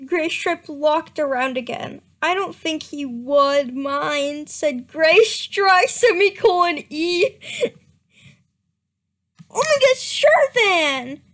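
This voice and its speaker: A woman's voice: high-pitched, lively intonation, slow, fairly bright tone. A young woman giving a comedic dramatic reading of badly written fan fiction, stumbling over misspellings and breaking into laughter. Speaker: reader.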